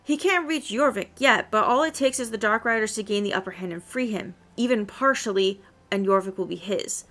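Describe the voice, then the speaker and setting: A woman's voice: medium pitch, brisk, few pauses, fairly bright tone, lightly acted. A woman reading the game dialogue of a young, adventurous horse-riding heroine. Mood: serious. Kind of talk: read-aloud game dialogue.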